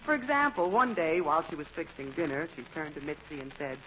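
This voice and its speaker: A woman's voice: low-pitched, big pitch swings, quick pace. A woman giving scripted, wry and playful comic narration for a vintage radio show. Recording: muffled, noisy old radio recording.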